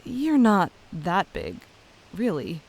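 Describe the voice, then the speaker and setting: A woman's voice: fairly low pitch, huge pitch swings, takes it slowly, exaggerated voice. A woman playing a curious, open young druid princess in a fantasy audio drama. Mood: earnest, curious. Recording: clear background hiss.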